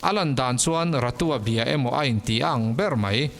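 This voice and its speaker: Reverberant male storyteller, lower-pitched voice. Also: normal speed, fairly bright tone. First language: Mizo. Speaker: narrator of mystery case stories. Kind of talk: scripted storytelling narration. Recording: long reverb, music underneath. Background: background music.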